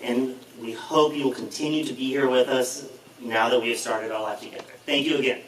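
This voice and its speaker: A young man in his twenties giving a wedding thank-you speech in a reception hall, dry and joking, with a voice he calls a monotone. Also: mid-range voice, brisk. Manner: self-described monotone, dry jokes. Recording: roomy hall mic from a distance, loud noise.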